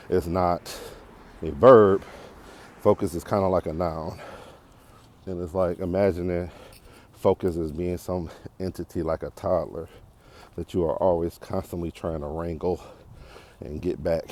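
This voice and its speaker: A man's voice: low-pitched, warm tone. An American man giving a casual, unscripted podcast monologue, rambling and thinking aloud while out walking. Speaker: podcast host. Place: outdoors, walking on a path.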